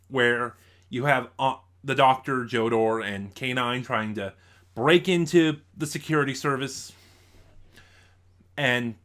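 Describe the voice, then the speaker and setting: A man giving a casual review and story recap, speaking haltingly with asides and self-corrections. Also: mid-range voice, fairly bright tone. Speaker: audio drama reviewer.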